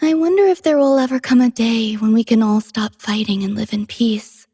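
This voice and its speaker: Gentle female android, video game companion, breathy husky softness, poetic, wistful, tender, dry studio sound. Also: on the high side, normal speed, dry recording. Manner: soft-spoken, wistful, tender delivery. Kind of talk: scripted game character voice lines.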